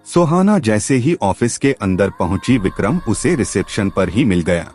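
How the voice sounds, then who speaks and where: A man's voice: fairly low pitch, very animated, normal speed, a little mellow. A man narrating a scripted story in a dramatic storytelling style, with music behind him.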